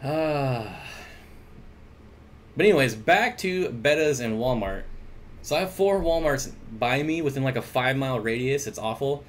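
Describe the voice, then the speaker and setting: A man hosts a home aquarium livestream from his desk mic, chatting loosely and reading out viewer comments between jokey asides. His voice sits in a middle pitch, and a faint hum of room noise runs underneath. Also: expressive, moderate pace. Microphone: desk mic at computer.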